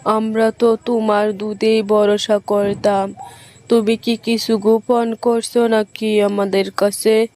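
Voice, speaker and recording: A woman's voice: medium pitch, little pitch movement, slow, darkish tone, slight background noise, natural voice. A woman voicing a grave, suspicious village headman, an elder uncle, in a cartoon story.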